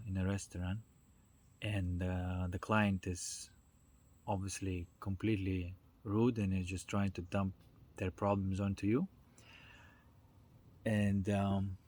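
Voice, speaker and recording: A man giving an informal explanatory talk in a reflective, halting way, often checking in with small tag questions. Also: deep voice, darkish tone. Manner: reflective, halting delivery with checking tags like "right?" and "you know". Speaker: speaker giving a self-development talk.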